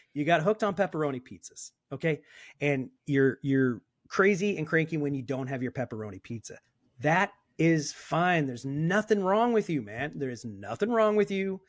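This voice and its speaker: A man in his fifties talks bluntly as a guest, pressing his points hard and now and then letting a curse slip. His voice sits a little high for a man. The recording is close and dry. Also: no echo. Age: 50s. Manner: emphatic and blunt, with occasional swearing. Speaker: sober coach, podcast guest. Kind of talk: casual conversational interview.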